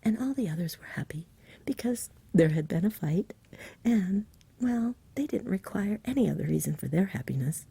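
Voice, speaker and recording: A woman reading in a gentle, soothing, unhurried ASMR bedtime-story style, very softly. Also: mid-range voice, lively intonation, gravelly, husky. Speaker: ASMR reader and host. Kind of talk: soft whispered ASMR bedtime story narration.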